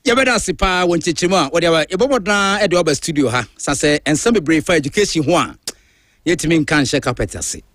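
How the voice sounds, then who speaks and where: A man from Ghana with a Ghanaian accent, hosting a radio drive show in a studio and talking in an emphatic, animated, opinionated way while switching between languages. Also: on the high side, fast, no echo. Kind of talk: opinionated talk-radio monologue.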